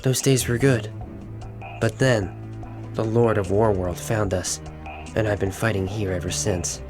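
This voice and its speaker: A man voicing a mountainous alien fighter who is a gentle giant, simple and earnest, in a narrated fan story. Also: on the low side, fairly even intonation, measured pace, natural voice. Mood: wistful. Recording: faint music behind the voice.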